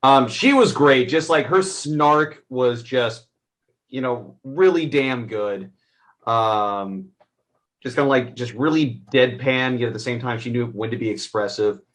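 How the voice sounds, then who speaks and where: A man's voice: mid-range voice, unhurried, a bit bright. Rambling man in casual film chat. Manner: rambling, circles back to rephrase. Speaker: film review panelist. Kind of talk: casual movie review chat.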